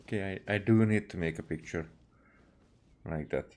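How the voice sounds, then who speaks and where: A man doing casual gameplay commentary as a streamer, with a halting, hesitant delivery. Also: low voice, a little mellow. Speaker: game streamer. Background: game music.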